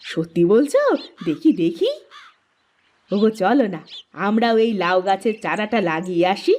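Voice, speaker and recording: A woman's voice: mid-range voice, animated, normal speed, darkish tone, a little hiss or hum, close to an everyday voice. A woman voicing an envious, insistent elder village wife in cartoon dialogue. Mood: eager. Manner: nosy, insistent.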